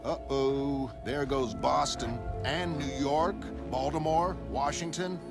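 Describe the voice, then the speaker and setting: A man's voice: medium pitch, fairly even intonation, slow, over music, voice pushed up. A hawkish war-room adviser, played by a man in a motion comic. He reports in a businesslike, matter-of-fact way. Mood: blandly impressed. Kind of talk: motion comic dramatic acting.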